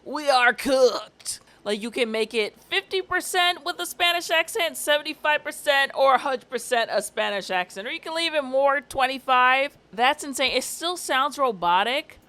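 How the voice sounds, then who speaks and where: A woman giving casual, sarcastic commentary on a vlog, animated and quick to laugh. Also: sits high. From family from Barbados. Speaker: YouTube commentator.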